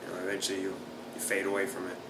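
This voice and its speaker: A man in his thirties, raised in South Florida, answering interview questions in a relaxed way with lots of uh and you know. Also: low-pitched, very fast. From Brazil, raised in South Florida. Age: 30s. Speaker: jiu-jitsu fighter and instructor. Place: small plain room indoors, seated in office chair. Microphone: camera at a distance. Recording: echoey room, distant mic, background hum.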